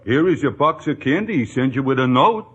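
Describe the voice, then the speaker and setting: A man's voice: medium pitch, lively intonation, brisk, slightly rough. A man acting a loyal, streetwise, slangy sidekick in a 1940s radio sitcom. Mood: pleading, loyal. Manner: slangy, plain-spoken. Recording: dull, very noisy old radio recording.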